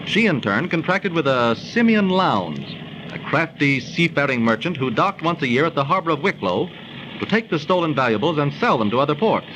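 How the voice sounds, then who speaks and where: A noisy old radio recording of a learned, skeptical gentleman storyteller. His voice sits fairly high and swings expressively, with a slightly pompous, wry delivery. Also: very animated, fairly quick. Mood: storytelling. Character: wry, skeptical, learned friend telling a legend. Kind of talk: 1930s radio acting.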